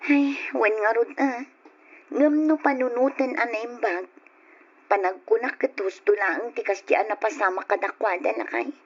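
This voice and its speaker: Husky, moralizing Ilocano matron. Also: female voice, fairly high pitch, moderate pace. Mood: gossipy, disapproving. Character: gossiping, moralizing wife and sorrowful departing mother. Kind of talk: old Ilocano radio drama acting. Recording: dull lo-fi radio drama recording.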